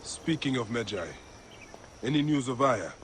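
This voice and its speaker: An actor playing a grim, vengeful warrior protector of ancient Egypt in a video game, solemn and terse. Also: male voice, mid-range voice, lively intonation, measured pace, noisy background, close to an everyday voice. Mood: grave.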